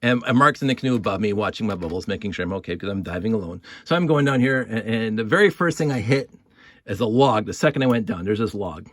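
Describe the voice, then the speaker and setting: A man's voice: on the low side, animated, fast, no echo. Rambling man telling a story, dry sound. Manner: rambling, repeats words and phrases. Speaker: storyteller and video host. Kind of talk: casual improvised storytelling with toy props.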